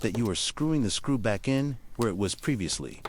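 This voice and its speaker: A man with a fairly low voice narrates a hands-on tutorial in careful, step-by-step fashion. His pitch moves around in a lively way as he gives instructions. Some faint noise and clicking sit behind the voice. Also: fairly quick.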